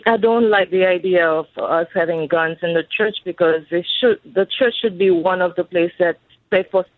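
A woman's voice: lowish voice, normal speed, dry recording. A woman from Haiti calling in to a radio show, speaking earnestly with some hesitant fillers, heard over a phone line. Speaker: caller. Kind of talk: call-in radio comment.

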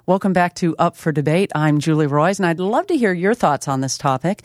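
A woman hosting a talk radio show, with clear, steady presenter delivery in a studio. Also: low voice, fairly quick, no room sound. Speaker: radio host. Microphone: studio microphone.

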